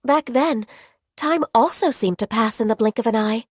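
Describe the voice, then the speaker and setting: An actress playing a gentle, modest young woman secretary in a video game, soft-spoken, polite and hesitant, easily flustered. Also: fairly high pitch, moderate pace, no echo, studio quality, natural voice. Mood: thoughtful, fond. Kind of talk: scripted video game character voice lines.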